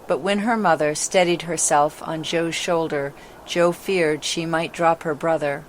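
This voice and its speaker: A woman's voice: low-pitched, fairly even intonation, unhurried, slight background noise. A female narrator reading a scripted children's story in a dramatic storytelling style.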